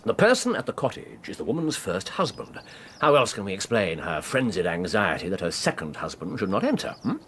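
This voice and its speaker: Theatrical, swooping English gentleman detective. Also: on the low side, big pitch swings, slight background noise. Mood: confident, expository. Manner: theatrical, mercurial, drawn-out phrasing with murmured hums. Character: brilliant, excitable gentleman detective, self-assured and theatrical. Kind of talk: radio drama acting.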